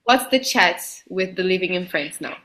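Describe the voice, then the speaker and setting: A woman's voice: lowish voice, expressive, normal speed, pushed and bright. A woman hosting a casual interview, leading with friendly questions and laughing easily as she moves the talk along. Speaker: host.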